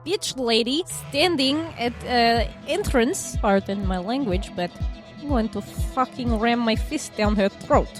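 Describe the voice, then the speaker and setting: A woman's voice: fairly high pitch, lively intonation, a little slow, over music. A woman chatting casually and a little hesitantly as a player in a tabletop roleplaying game.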